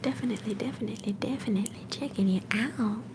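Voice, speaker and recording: A young woman hosting a livestream from home, whispering softly and affectionately to her viewers. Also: on the high side, fast, background noise. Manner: soft whisper, affectionate and encouraging. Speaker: livestream host.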